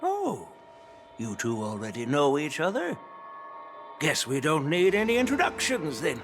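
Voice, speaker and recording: An easygoing elderly general with a genial, relaxed way of talking. His voice sits a little high and moves around expressively. The lines come from a game cutscene. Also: a little slow, faint music behind, exaggerated voice. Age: older. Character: elderly retired male general, easygoing and jovial. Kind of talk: video game voice acting.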